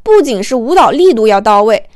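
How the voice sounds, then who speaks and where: A woman narrating a comic romance novel as an audiobook in an expressive, colloquial storytelling manner. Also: a little high, fairly quick, no echo, clean studio sound.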